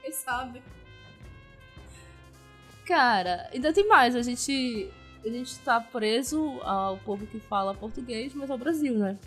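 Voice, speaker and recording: A woman podcaster chatting casually in an animated, self-deprecating way. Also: on the high side, slow, soft background music.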